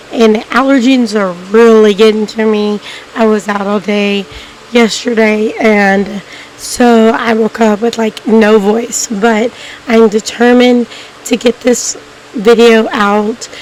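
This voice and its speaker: A woman with a hoarse, cracking voice, hosting a documentary-style video and talking casually to viewers, rambling with many ums and restarts. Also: a little high, a little hiss or hum, poor audio. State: hoarse, losing her voice. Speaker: YouTube documentary host. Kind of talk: casual unscripted narration to viewers.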